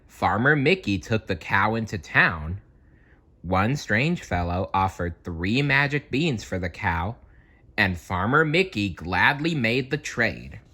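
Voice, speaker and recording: A man reading a children's storybook aloud as an expressive storyteller, theatrical and deliberate in his delivery. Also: on the low side, slightly rough.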